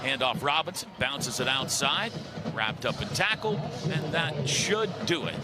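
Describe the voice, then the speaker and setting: A man's voice: fairly high pitch, animated, a little slow. An American man calling football play-by-play on the radio in clipped, punchy, emphatic phrases. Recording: radio broadcast under loud music. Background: loud music.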